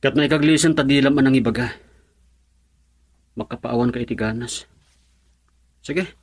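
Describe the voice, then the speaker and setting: A man's voice: medium pitch, moderate pace, husky, no room sound, lightly acted. Radio drama actor: young husband, earnest and heartsick, middle-range male voice. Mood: upset. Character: earnest, troubled young husband missing his wife.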